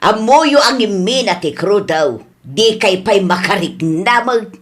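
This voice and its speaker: A woman's voice: mid-range voice, lively intonation, measured pace, close to an everyday voice. A woman with an Ilocano accent playing a stubborn, quarrelsome older woman in a comedy radio drama, scolding and arguing. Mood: indignant. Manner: scolding, argumentative.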